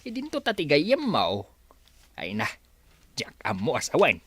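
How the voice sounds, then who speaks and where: A man's voice: fairly high pitch, huge pitch swings, a little slow, fairly bright tone, slightly rough, no echo, exaggerated voice. A man with a Filipino accent playing a stern, judgmental village father in a radio drama. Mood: reflective, judging. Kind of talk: Ilocano radio drama acting.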